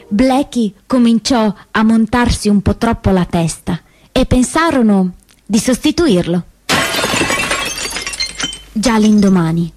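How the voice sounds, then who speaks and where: A woman actor delivering dramatic scripted stage narration in measured, emphatic phrases. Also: mid-range voice, darkish tone. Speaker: actress narrator.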